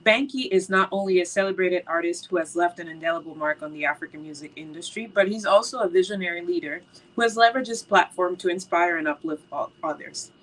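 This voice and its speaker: A woman's voice: lowish voice, fast talker, fairly bright tone, rough recording. A woman co-hosting a call, reading a formal, praising introduction of the next speaker over a video call. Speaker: event co-host introducing a speaker. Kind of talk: read introduction.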